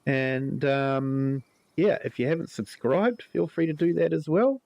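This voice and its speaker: Slow-talking New Zealand man, slightly croaky. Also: a little high, no room sound, muffled recording. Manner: relaxed, chatty, greets viewers by name, many ums. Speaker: livestream host. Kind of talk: live gameplay commentary.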